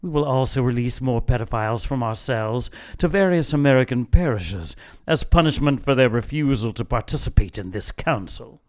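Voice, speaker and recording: A man's voice: mid-range voice, expressive, close to an everyday voice. Man playing a cold, imperious church zealot. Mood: cold authority. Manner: measured, imperious, menacing. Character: cold ruthless male church zealot leading a secret council. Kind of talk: audio drama acting.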